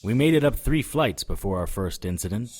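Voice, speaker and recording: Low-voiced, wry hard-boiled hero played by a man. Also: expressive, brisk, darkish tone, slight background noise, lightly acted. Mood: grim. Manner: dry, sardonic. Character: wry, hard-boiled male hero narrating his exploits. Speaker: narrator and lead character. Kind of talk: first-person scripted audio drama narration.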